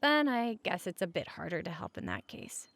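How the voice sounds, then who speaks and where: A woman playing a caring, teasing roommate in a comfort audio roleplay, speaking casually and candidly with a creaky voice at regular volume. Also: on the low side, wildly swooping pitch, normal speed, a bit bright, no echo, full character voice.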